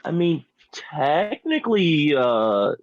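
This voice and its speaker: A man's voice: a little high, big pitch swings, slow pace, no echo. A man co-hosting a podcast in casual chat with friends, on dull, lo-fi sound. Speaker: podcast co-host.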